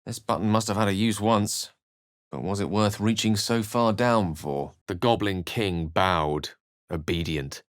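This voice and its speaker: An actor playing an eloquent, theatrical and wry human wizard in a fantasy video game, with articulate, literary phrasing. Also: male voice, fairly low pitch, measured pace, no echo, clean studio sound, close to an everyday voice. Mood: thoughtful, eloquent.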